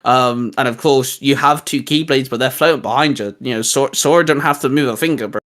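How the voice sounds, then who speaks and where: A man's voice: medium pitch, brisk, fairly bright tone. A man co-hosting a casual geek chat, talking in a hesitant, rambling, informal way with bits of slang. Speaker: co-host of a geek culture show. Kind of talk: casual chat, reviewing video game designs.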